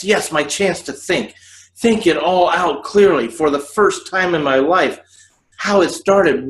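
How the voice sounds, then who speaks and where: A man's voice: on the high side, reverberant, pitched above the performer's own voice. A man reading the part of a tormented man in a radio drama, voicing his urgent inner monologue. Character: tormented disfigured man near suicide.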